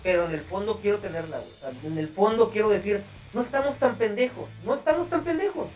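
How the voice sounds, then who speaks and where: A man's voice: sits high, animated, fast, a little mellow, distant mic, faint music behind. A man with a Mexican accent hosting a podcast in a casual, slangy, profane way. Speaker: podcast host.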